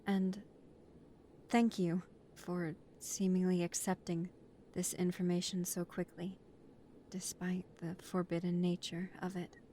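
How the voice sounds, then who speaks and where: Woman playing loyal mafia newcomer, unhurried delivery, earnest, loving, emotional, romantic audio drama. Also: fairly low pitch, flat delivery, warm tone, a little hiss or hum, lightly acted. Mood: respectful, resolute. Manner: earnest, emotional, polite to superiors. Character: devoted young woman, new mafia recruit, earnest, loving partner.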